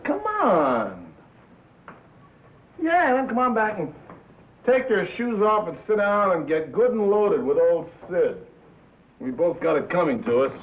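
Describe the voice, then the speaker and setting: Glib, slangy radio boss who drinks, higher-set and animated, on a tinny old film soundtrack. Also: male voice, full character voice. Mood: boozy, expansive. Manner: slangy, glib, sports-metaphor patter. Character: brash, boozy radio executive, back-slapping and bitter. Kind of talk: 1950s film drama dialogue.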